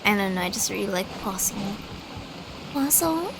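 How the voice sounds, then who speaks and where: A woman's voice: mid-range voice, lively intonation, normal speed, noisy background. A young woman streamer giving casual, chatty gameplay commentary, rambling and musing aloud. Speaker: game streamer. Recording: game music behind the voice. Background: game music.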